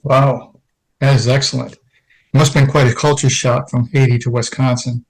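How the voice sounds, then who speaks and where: A man's voice: mid-range voice, little pitch movement, normal speed, rough recording. Podcast host, man, mid-range voice; friendly, upbeat interviewer. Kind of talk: scripted introduction and interview.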